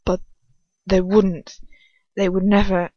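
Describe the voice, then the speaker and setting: A woman's voice: mid-range voice, level tone, a little fast, warm tone, muffled recording, a little hiss or hum, close to an everyday voice. A woman voicing a hot-headed, desperate teenage boy, incredulous and sputtering, in an amateur story reading. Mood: desperate disbelief.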